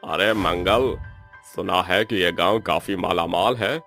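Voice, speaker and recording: Man voicing a rustic cartoon thief, slow, boastful, faint music. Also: deep voice, gravelly, sharp tone, exaggerated voice.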